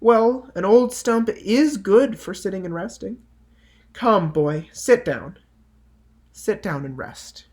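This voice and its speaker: A man voicing a gentle, loving female tree in a picture-book read-aloud, coaxing and tender. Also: high-pitched, animated, slow.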